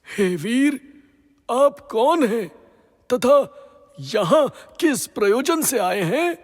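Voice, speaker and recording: A man plays a stately serpent king in a mythological audio drama. His high-pitched voice is formal and declamatory, with slow, ceremonious phrasing that rings in a long hall reverb. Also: expressive, full character voice. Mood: grateful, courteous. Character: stately serpent king and gracious, grateful father.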